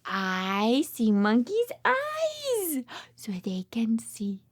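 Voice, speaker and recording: A woman hosts a toddler read-aloud in a high voice that swoops dramatically. She speaks extremely slowly, repeating simple phrases and questions with exaggerated, child-directed expression. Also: huge pitch swings.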